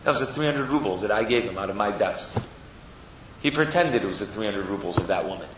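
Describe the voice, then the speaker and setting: Man acting out a low, weary rabbinic master. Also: lively intonation, fast talker, noisy background, natural voice. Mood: grave, knowing. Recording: very echoey room, distant mic, dull.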